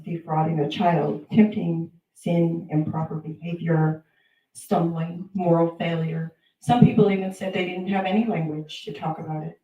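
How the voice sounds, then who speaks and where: Woman, warm mellow tone, firm explaining, echoey room, distant mic. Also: lowish voice, lo-fi sound. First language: Pennsylvania Dutch. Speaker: panel speaker, researcher. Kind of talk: panel discussion talk.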